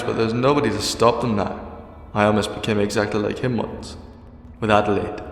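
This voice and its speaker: Wry, resolute captive time-traveller hero for audio drama male actor, low register, cavernous reverb. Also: natural voice. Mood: regretful. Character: defiant, imprisoned adult male time-travelling alien hero. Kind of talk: fan audio drama acting. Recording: echoey, long reverb, music underneath.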